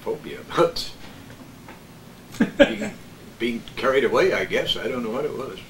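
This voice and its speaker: An older man telling stories in a casual chat with a halting, hesitant delivery. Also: medium pitch, sing-song, fairly bright tone. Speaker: veteran radio man as guest. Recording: distant echoey room with faint noise.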